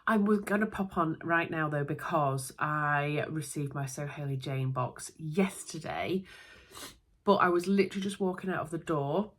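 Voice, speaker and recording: A woman's voice: low voice, normal speed. A young woman with a Yorkshire accent and a stuffy, congested voice from a cold, chatting in a bubbly way as she unboxes a sewing parcel at home. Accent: Yorkshire English. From Yorkshire, England. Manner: bubbly, chatty, lots of little asides. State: congested with a cold, nasal and bunged up. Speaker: sewing vlogger.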